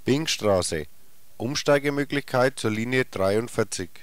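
A man's voice: medium pitch, expressive, a little slow, clear, resonant tone, dry recording. A man reading scripted bus stop announcements in a clipped, formal, neutral manner, from a 1990s cassette recording. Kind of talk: scripted public transit announcement, short formal stop names.

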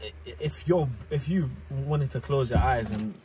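A young man talks inside a parked car at night. His voice sits mid-pitch and he keeps restarting his sentences. A light hum of noise runs underneath. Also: normal speed. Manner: animated, repeats words and restarts, London slang. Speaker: content creator host. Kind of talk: casual chat. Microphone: phone camera on the dashboard.

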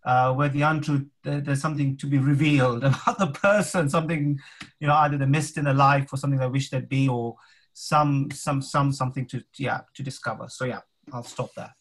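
A man's voice: mid-range voice, steady tone, fairly quick. A man talking casually as a workshop participant on a video call from a home room, thoughtful and hesitant, often restarting his sentences. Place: home room with map on wall. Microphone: laptop webcam on video call.

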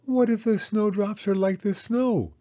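A man's voice: high voice, fairly even intonation, unhurried, warm tone, lo-fi sound, pitched above the performer's own voice. A man voicing a sweet, eager, curious little girl mouse in a children's storybook, with earnest childlike questioning. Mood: worried.

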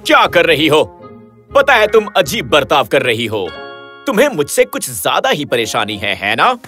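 Man acting a bright, irritable young husband. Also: fairly high pitch, wildly swooping pitch, normal speed, faint music behind, full character voice. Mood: annoyed, scolding. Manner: emphatic, complaining.

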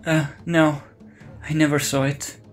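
A man's voice: fairly high pitch, level tone, unhurried, faint music behind, natural voice. A young man playing an earnest, hesitant, grieving college student in an audio drama. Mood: tense. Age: young adult.

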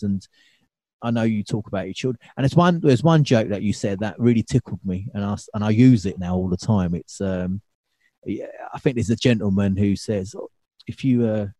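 A man's voice: medium pitch, warm tone, no room sound. A man in his thirties hosting a podcast from a small home studio, laid-back and laughing along as he asks loose, rambling questions. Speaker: podcast host. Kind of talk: casual interview chat. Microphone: studio mic with headphones.

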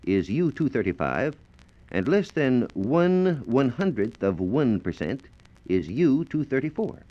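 A dull, lo-fi 1940s transcription disc carries an American man's low voice. He explains science as a formal, carefully worded radio script, with lively rises and falls in his intonation. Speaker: science reporter and presenter.

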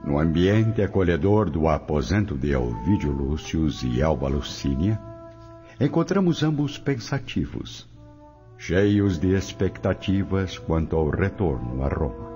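A man's voice: very deep voice, sing-song, a little slow, warm tone, faint music behind. A man narrating a radio drama from a script.

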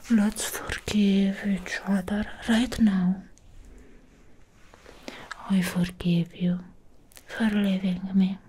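Girl doing a clingy vampire ex for asmr roleplay, super slow breathy whisper right up on the mic, bit of hiss. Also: medium pitch, mellow. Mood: adoring, possessive. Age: young adult. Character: possessive, lovesick young female vampire ex-girlfriend. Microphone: close-up microphone.

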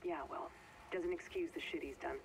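A woman's voice: low voice, steady tone, moderate pace, a little hiss or hum, low-quality recording. A woman playing an inquisitive, judgmental crew member in a video game. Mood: probing. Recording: loud game ambience behind.

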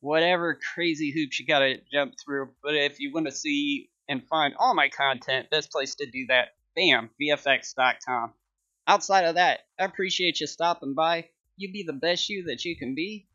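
Bright-voiced casual man hosting a tutorial. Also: fairly high pitch, moderate pace, no echo, muffled recording. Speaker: tutorial host.

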